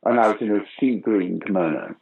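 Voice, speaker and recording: A man's voice: on the low side, a little fast, gravelly, narrow, tinny audio, a little hiss or hum. An older British man, a pantomime dame actor, telling stage stories in a casual interview. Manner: storytelling, animated anecdotes.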